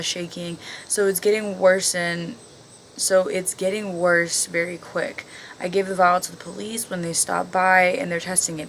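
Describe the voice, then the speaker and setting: Low-voiced woman with noisy background. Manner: blunt, profane, sarcastic interjections. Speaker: video host reading online stories aloud. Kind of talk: casual commentary while reading stories aloud. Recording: clearly audible background noise.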